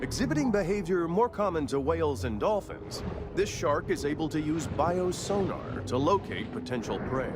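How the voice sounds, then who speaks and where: A man playing a sardonic, mock-serious wildlife documentary narrator in a video game, with a deadpan, grandiose delivery. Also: a little high, normal speed, few pauses, lightly acted. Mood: deadpan, wry. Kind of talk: scripted nature documentary parody narration. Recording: loud music over the voice. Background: loud music.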